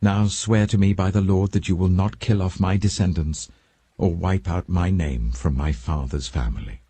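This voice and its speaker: A man's voice: deep voice, moderate pace, dark tone, breathy edge, voice pushed up. A British man voicing a remorseful, humbled ageing king, grave and emotional, in a dramatic audiobook Bible reading. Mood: remorseful, moved.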